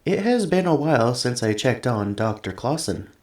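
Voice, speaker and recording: A man's voice: medium pitch, sing-song, normal speed, a little mellow, roomy sound. A man playing a polite, earnest android assistant in an ASMR roleplay, speaking formally and precisely through a robotic voice effect. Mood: thoughtful, puzzled. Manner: formal, precise, literal-minded phrasing. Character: polite, earnest male android tenant assistant, gentle and curious. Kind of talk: ASMR roleplay, scripted one-sided conversation.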